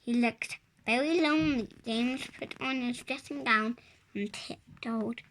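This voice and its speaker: A five-year-old child reading a picture storybook aloud in a halting, word-by-word way. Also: mid-range voice, fairly bright tone, a little hiss or hum, poor audio. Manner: halting, word-by-word early reader. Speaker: child reader.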